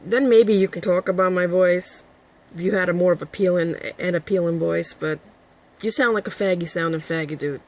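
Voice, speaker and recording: A woman with a raspy, husky voice, ranting combatively and with a lot of profanity at a critic in a vlog. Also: lowish voice, brisk. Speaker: vlogger. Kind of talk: addressing a critic to camera.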